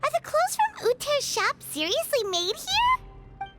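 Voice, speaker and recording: A woman voicing a small, childlike fairy companion in a video game, excitable and blunt, with a squeaky voice. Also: a little slow, very noisy, exaggerated voice. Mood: disgusted. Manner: exclaims, speaks of self in third person.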